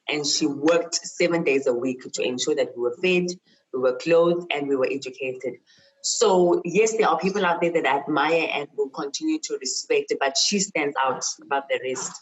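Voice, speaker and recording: Low-voiced South African woman, earnest. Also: low-quality recording. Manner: earnest, emphatic, repeats phrases for stress. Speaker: university chemist and lecturer, interview guest. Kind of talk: personal interview, reflective storytelling.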